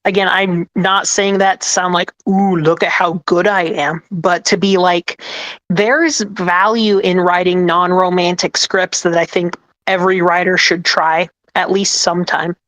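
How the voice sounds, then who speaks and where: Woman, lower voice, halting casual panel talk, dry room. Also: level tone, fairly bright tone, dry recording. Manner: hesitant, halting, self-deprecating. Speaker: ASMR script writer, podcast panelist. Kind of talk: casual chat.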